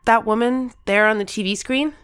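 A woman's voice: on the high side, fairly even intonation, moderate pace, a bit bright, a little hiss or hum, close to an everyday voice. A woman playing an earnest, eager thirteen-year-old kid with a heartfelt storytelling manner. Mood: wistful, earnest.

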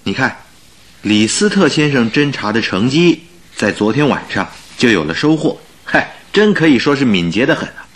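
An actor playing a good-natured, wry husband and detective's companion in a radio drama, bantering and exclamatory. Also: male voice, mid-range voice, big pitch swings, moderate pace, a bit bright, slight background noise. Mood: amused.

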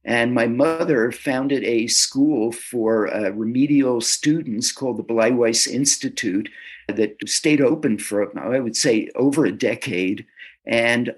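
A man being interviewed as an author, answering in a casual, halting way and often repeating words as he starts a sentence. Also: medium pitch, breathy edge. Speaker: author guest.

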